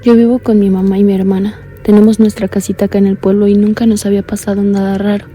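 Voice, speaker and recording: A young woman playing a blind caller recounting a scare in a soft, earnest, confiding way. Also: mid-range voice, little pitch movement, fast, natural voice. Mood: uneasy. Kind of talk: radio drama narration.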